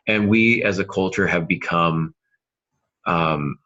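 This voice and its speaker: A middle-aged man co-hosting a casual podcast discussion on writing, speaking in an earnest, emphatic way with dramatic pauses. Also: sits low, sharp tone, muffled recording. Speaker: podcast co-host and novelist.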